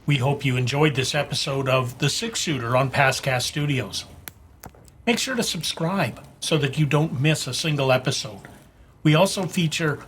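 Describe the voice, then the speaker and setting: A man's voice: mid-range voice, normal speed, a bit bright, a little hiss or hum. A man reading a scripted outro as host of an audio channel.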